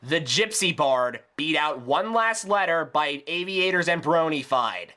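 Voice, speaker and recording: A man's voice: on the high side, lively intonation, unhurried, sharp tone. A man delivering a scripted ranting review in a sarcastic, exasperated, emphatic way. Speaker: video reviewer.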